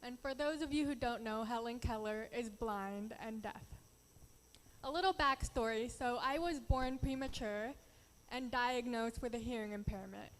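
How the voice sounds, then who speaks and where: A woman's voice: on the high side, normal speed, slight background noise. Young Filipina woman speaking in an echoey hall. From Philippines. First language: Tagalog. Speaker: pageant contestant. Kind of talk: prepared speech on a stage.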